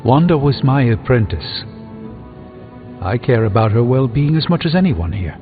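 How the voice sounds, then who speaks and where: A man's voice: medium pitch, big pitch swings, moderate pace, narrow, tinny audio, close to an everyday voice. Man acting pompous sorcerer superhero, thin game audio. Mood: grave, concerned. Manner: pompous, wordy, theatrical formal diction. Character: pompous, erudite adult male sorcerer superhero, proud and wry. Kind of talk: video game character dialogue. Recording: thin band-limited game audio with music behind.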